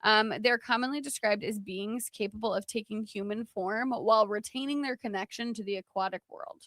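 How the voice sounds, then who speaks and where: A woman's voice: mid-range voice, normal speed, a bit bright, no room sound. A woman co-hosting a podcast, explaining folklore from notes in an informal, chatty way. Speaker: podcast co-host.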